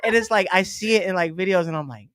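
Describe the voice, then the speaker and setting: Man, 30s, high and bright, smooth tone, animated casual talker. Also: fast, no echo, clean studio sound. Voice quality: high-pitched voice. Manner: animated, chatty, lots of fillers and laughs. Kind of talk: casual chat.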